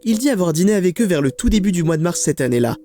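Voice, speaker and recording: A man's voice: sits high, big pitch swings, racing, dark tone. A man narrating a scripted mystery documentary with dramatic, suspenseful phrasing. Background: background music.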